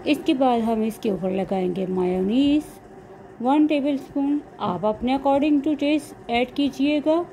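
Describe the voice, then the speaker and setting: Woman doing recipe voiceover, kinda high mellow voice, goes step by step. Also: takes it slowly, low-quality recording. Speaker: cooking vlog host. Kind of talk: recipe voice-over narration. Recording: clear background noise, homemade recording.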